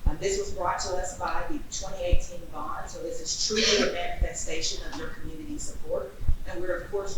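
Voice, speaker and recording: A woman who is a school principal giving a polished, upbeat update to a community audience. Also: medium pitch. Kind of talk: prepared speech at a community event. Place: new school auditorium. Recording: echoey auditorium from far off, noisy.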